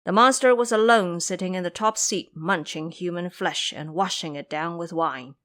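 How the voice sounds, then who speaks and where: A woman's voice: on the low side, normal speed, a bit bright. A woman narrating a scripted fantasy story in a dramatic storytelling style.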